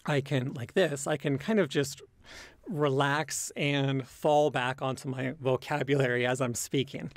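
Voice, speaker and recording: A man who speaks English natively answers in a casual, reflective interview. His voice sits at a medium pitch and he picks his words carefully, with hesitations and qualifications. The sound is dry, with no room echo. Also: steady tone, unhurried. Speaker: interview guest, language learner and comedy creator.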